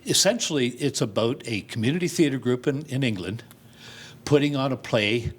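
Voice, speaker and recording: A man's voice: mid-range voice, lively intonation, normal speed, slightly rough. An older man talking informally and good-humoredly in a television interview, explaining his work as a theater director. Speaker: community theater director and set designer.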